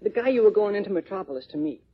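A male actor plays a feisty teenage boy in a vintage radio adventure. He is slangy, defiant and tough-talking, with a husky youthful voice. The old recording sounds muffled. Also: high voice, animated, racing. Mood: urgent, determined. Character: brash, feisty teenage boy cub reporter. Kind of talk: 1940s radio acting.

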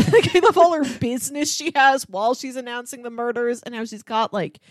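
A woman's voice: a little high, brisk, dry recording. Conversational woman in a dry, close recording. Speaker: podcast co-host. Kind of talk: casual TV review discussion.

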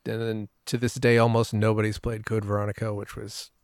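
A man's voice: fairly low pitch, completely flat delivery, a little fast, smooth, no echo, studio quality. A man in his forties talking casually as a panelist on a gaming podcast. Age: 40s.

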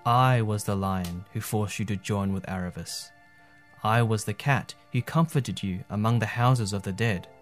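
A man reading aloud and voicing a vast, solemn lion who speaks unseen in the dark, slow and grave. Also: mid-range voice, natural voice.

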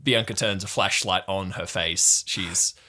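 Low, forceful male game master. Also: steady tone, measured pace. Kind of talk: improvised tabletop game narration.

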